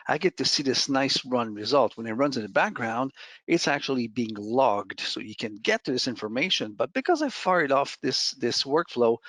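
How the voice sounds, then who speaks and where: A man presenting a software demo in an informal, conversational way, explaining each step as he goes over a screen share. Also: medium pitch, no room sound.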